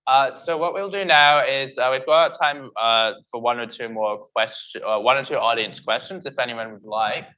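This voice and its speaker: A man's voice: mid-range voice, normal speed, few pauses, bright, forceful tone. A man moderating a panel discussion, politely passing questions along with brief remarks. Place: seminar hall before an audience. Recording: muffled lo-fi event recording.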